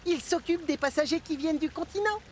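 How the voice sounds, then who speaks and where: Friendly boy steam engine, children's cartoon: very high, earnest, chirpy, over train noise. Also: brisk. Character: friendly, loyal young male steam engine. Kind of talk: French-dubbed children's animation acting.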